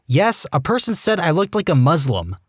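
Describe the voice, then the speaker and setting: A man narrating posts aloud in a lively, wry storytime style, close to the mic. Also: fairly high pitch, normal speed, breathy edge, dry recording.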